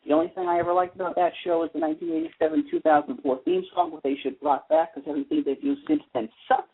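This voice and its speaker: A husky-voiced man with a slightly high pitch gives wry, opinionated takes on a talk show. He chats casually, like a devoted fan. The sound is narrow and tinny, like a phone call. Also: animated, fast. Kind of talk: casual soap opera fan chat.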